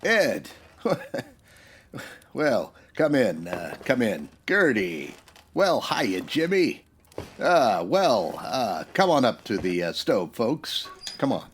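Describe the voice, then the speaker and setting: A man's voice: medium pitch, big pitch swings, takes it slowly, slight background noise. A man playing an upright, plain-spoken Old West marshal in a radio western, earnest and folksy. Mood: concerned, kindly.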